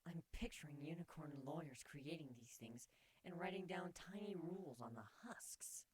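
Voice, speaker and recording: A man's voice: on the high side, animated, moderate pace, pitched above the performer's own voice, poor audio. A man voicing a playful, teasing female pony with blunt wit in a fan fiction reading. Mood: dry, musing.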